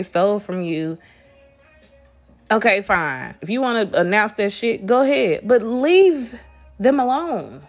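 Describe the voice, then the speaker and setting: A woman hosting a casual solo podcast, talking bluntly with lots of swearing, restarts and a stuffy, congested nose. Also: mid-range voice. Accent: Southern US. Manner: blunt, profane, rambling with restarts. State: congested from allergies. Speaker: podcast host.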